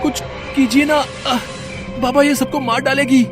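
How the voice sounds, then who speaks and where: A man's voice: extremely high pitch, fairly even intonation, measured pace, over music. A man voicing a frightened, earnest young schoolboy who pleads anxiously in a horror story. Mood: anxious, pleading. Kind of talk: dramatized Hindi horror story.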